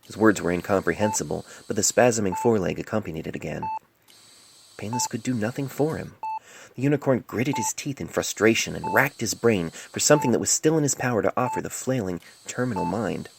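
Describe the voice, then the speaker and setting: A woman's voice: extremely low pitch, lively intonation, brisk, gravelly, background noise. A woman narrating a scripted fan fiction story as an amateur reader, recorded on a cell phone microphone.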